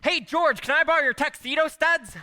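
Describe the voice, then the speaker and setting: Man playing a loud, high-pitched excitable kid brother. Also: slight background noise, heavily acted. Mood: excited. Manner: loud, hurried, chirpy. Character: brash, excitable young kid brother, breezy and fun-loving. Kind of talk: old-time radio play acting on stage. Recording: live stage taping of radio play.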